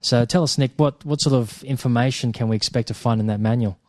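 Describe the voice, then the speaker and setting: A man co-hosting a podcast, speaking in a measured, hesitant way with fillers and repeated words. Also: medium pitch, darkish tone, no echo, clean studio sound. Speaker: podcast co-host. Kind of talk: casual podcast talk.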